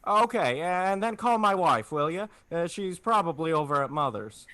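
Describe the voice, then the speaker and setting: Small-town family man played by a man for 1940s-style radio drama: earnest, hesitant, animated, slightly high-pitched. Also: moderate pace, no echo. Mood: hurried, exasperated. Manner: stammering, hesitant, folksy.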